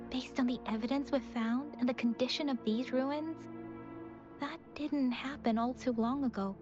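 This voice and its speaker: An actress playing an earnest, thoughtful young woman investigating mysteries in a video game, speaking in a measured, serious way. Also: high voice. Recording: muffled game capture under loud music.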